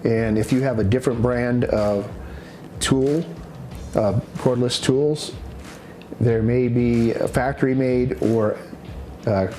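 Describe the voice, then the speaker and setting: A man giving an unscripted product demonstration in a halting, matter-of-fact way, as a presenter explaining equipment. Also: medium pitch, steady tone, dark tone, a little hiss or hum. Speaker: presenter demonstrating radio gear. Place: indoors in a house.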